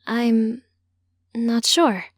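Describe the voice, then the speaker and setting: A woman's voice: fairly high pitch, level tone, very slow, dry recording, clean studio sound. A woman voicing an earnest, slightly sheepish grown daughter in an audiobook story. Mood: hesitant. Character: earnest young transgender woman, a teacher, confiding in mother.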